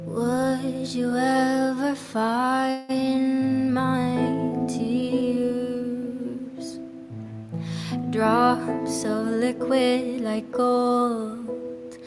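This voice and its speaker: A woman's voice: on the high side, monotone, drawn-out words. A woman singing in a loose, improvised style as a musician in a live performance. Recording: loud instrumental music around the voice. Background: loud live music.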